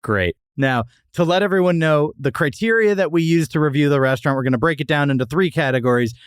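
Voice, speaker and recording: A man's voice: a little high, fast, clean tone, no room sound, studio quality. A man hosting a casual comedic review podcast, talking in a wry, teasing way. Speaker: podcast host.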